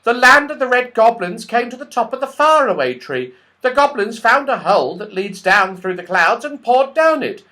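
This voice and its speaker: A man's voice: very high-pitched, big pitch swings, moderate pace, sharp tone, pitched above the performer's own voice, heavily acted. A man voicing a tiny red squirrel in a children's storybook, with a thin voice and an urgent, worried manner. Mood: agitated, worried. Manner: agitated, urgent explaining. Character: tiny little red squirrel, anxious messenger.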